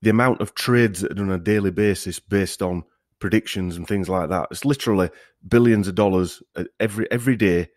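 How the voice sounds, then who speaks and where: A man's voice: low voice, a little fast, darkish tone, no echo, clean studio sound. A man hosting a podcast in a casual discussion style. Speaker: podcast host.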